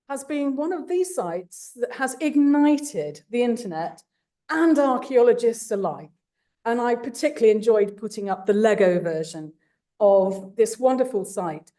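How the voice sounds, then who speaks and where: A woman giving a formal awards speech, enthusiastic and warm, with small asides to the audience. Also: on the high side. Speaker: awards presenter.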